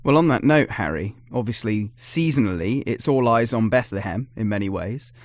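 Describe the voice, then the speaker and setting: A man hosting a podcast interview, polite and gently guiding the conversation. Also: lowish voice, animated, normal speed, dry recording, clean studio sound. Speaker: podcast host.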